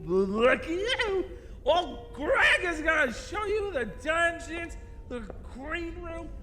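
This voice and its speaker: A man's voice: very high voice, very animated, takes it slowly, bright, forceful tone, heavily acted. A man playing an eager, dim, good-natured sidekick with a goofy, earnest manner in a comic horror drama. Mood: eager, cheerful. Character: cheerful, naive, eager dimwit male studio sidekick. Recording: reverberant hall with music behind.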